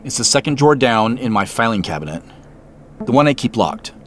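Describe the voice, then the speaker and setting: Uneasy man with very animated delivery. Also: mid-range voice, brisk, slight background noise. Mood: guarded. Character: Joseph, uneasy suspicious man on a phone call. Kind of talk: naturalistic audio drama acting with first-person narration.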